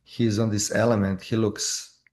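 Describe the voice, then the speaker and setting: Halting low-voiced man from Croatia. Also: flat delivery, a little mellow. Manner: halting, with frequent restarts and fillers. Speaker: filmmaker guest. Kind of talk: casual interview.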